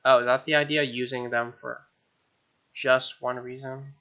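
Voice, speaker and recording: A man's voice: mid-range voice, little pitch movement, a little slow, smooth, dull audio. A man hosting a let's play, reading game text expressively with wry, casual asides.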